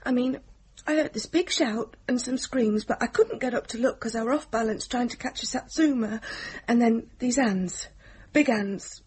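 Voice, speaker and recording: An actress playing a naive, chatty young waitress with a Northern English accent in a radio drama. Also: female voice, sits high, a little mellow.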